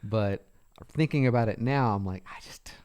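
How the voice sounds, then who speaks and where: A man's voice: on the low side, normal speed, a little mellow, smooth. A man of thirty hosting a casual chat, laid-back, swearing and calling his friend dude. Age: 30s. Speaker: host.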